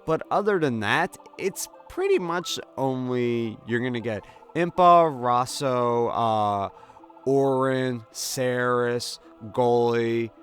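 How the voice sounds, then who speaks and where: A man's voice: medium pitch, takes it slowly. A man giving casual, chatty let's play commentary in an informal, amused way as host of a video game series. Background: video game music.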